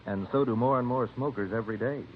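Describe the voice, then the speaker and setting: A man with an American accent giving an emphatic, salesmanlike 1950s radio advertising pitch. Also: quick pace. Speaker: commercial announcer. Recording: tinny, faintly noisy vintage radio recording.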